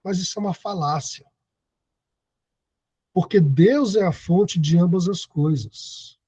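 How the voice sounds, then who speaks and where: A man's voice: high voice, slow, warm tone, breathy edge, no echo, highs cut off. A man in his thirties teaching an online Bible study lesson, reading scripture aloud and explaining it. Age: 30s. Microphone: video call.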